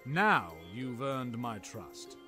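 Male actor as earnest royal companion, measured, very expressive intonation, formal audiobook delivery. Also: mid-range voice, soft background music, heavily acted. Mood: approving. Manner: formal, deliberate. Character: loyal, earnest, weary royal companion of a king.

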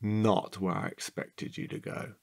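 An actor playing a chatty, childlike, curious man who is a bit slow-witted, in a playful comedic audio drama. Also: on the low side, gravelly. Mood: musing. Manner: playful, excitable, drawn-out delivery.

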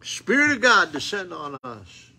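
Loud folksy older preacher, drawl. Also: male voice, high-pitched, big pitch swings, measured pace, gravelly, background noise. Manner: folksy, rhetorical questions, loud unamplified voice. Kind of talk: informal sermon with Bible reading. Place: small church room, indoors. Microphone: phone camera close up.

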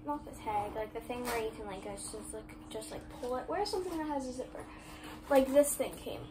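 A young girl talks casually to her phone camera from her bedroom, rambling along with plenty of "like" fillers. Her voice sits high and bright. A steady noisy room hiss runs underneath. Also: brisk. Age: 11, child. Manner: rambling, chatty, many "like" fillers. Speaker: vlogger. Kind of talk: casual vlog chat. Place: bedroom, indoors. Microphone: phone camera on desk.

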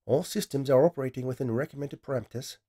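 Cool, flat female AI assistant, as a man voices her. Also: mid-range voice, fast, voice pushed up, lightly acted. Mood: dispassionate. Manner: flat, precise, matter-of-fact delivery. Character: female AI lab assistant, calm and dispassionate.

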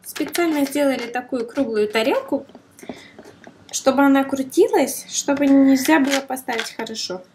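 A woman's voice: sits high, moderate pace, darkish tone, a little hiss or hum. A woman chatting playfully to a child as she demonstrates a toy, full of exclamations, oohs and gasps. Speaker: toy review host, mother playing with her child.

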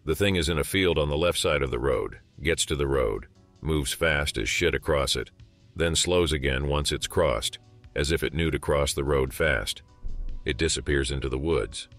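A man calmly reading out a story. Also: extremely low pitch. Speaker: narrator. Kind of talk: scripted narration of a reader story.

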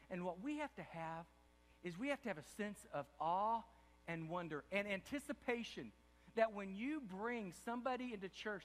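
An older male pastor preaching with passion in a high, ringing voice. He stumbles and repeats words, then drops to a quiet hush before rising again. His voice carries with a long reverb through a large church hall. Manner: impassioned preaching, repeats words, swings from loud to hushed. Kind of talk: sermon. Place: large dark church stage before an audience.

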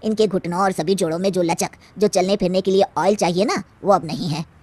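A woman's voice: lowish voice, steady tone, a little fast, darkish tone, no room sound, natural voice. Woman acting middle-aged doctor, cartoon story, matter-of-fact, explanatory, dry close sound. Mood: grave.

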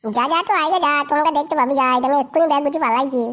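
A woman's voice: high-pitched, rapid-fire, fairly bright tone, slight background noise. A woman voicing a scolding, crude young village wife in a comedy cartoon. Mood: furious. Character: angry, foul-mouthed young Bengali village wife.

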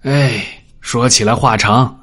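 Man acting a husky, deferential household steward. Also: medium pitch, fairly even intonation, takes it slowly, a bit bright. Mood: amiably explaining. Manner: polite, explanatory. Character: deferential, reassuring male steward to a princess.

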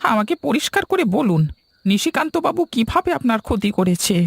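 A woman's voice: a little high, sing-song, brisk, creaky. A woman voicing a determined, earnest villager out to help a ghost in a horror cartoon. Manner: resolute, earnest.

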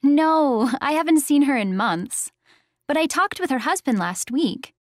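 A woman's voice: high voice, lively intonation, unhurried, dry recording, studio quality. A woman acting a friendly acquaintance in a scripted textbook dialogue.

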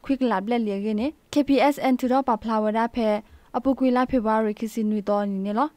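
Higher-pitched woman reading radio news. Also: steady tone, a little fast.

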